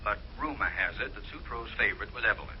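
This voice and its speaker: An actor playing a friendly waterfront priest in a 1940s radio drama, brisk and matter-of-fact. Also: male voice, fairly low pitch, lively intonation, slight background noise, natural voice. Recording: dull lo-fi old radio recording.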